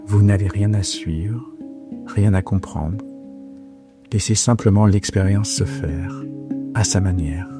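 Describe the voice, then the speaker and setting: A man guiding a yoga nidra meditation for sleep in a soft, breathy, soothing and hushed voice, with unhurried phrasing and long pauses. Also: lowish voice. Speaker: yoga nidra guide and podcast host. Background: soft plucked guitar music.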